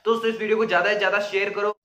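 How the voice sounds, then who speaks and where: Casting: young male video creator, high forceful voice, earnest explainer delivery, roomy sound. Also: little pitch movement, very fast. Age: young adult. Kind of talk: explanatory talk to camera, cautionary. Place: small indoor room with plain wall. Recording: roomy indoor echo.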